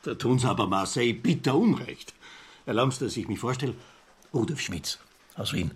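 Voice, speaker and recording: An actor playing a garrulous Viennese newspaper editor and amateur philosopher in a radio drama, lecturing pompously in an Austrian accent with a husky voice. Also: male voice, medium pitch, animated, moderate pace, slight background noise, close to an everyday voice. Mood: eager, chatty. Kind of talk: literary radio drama dialogue.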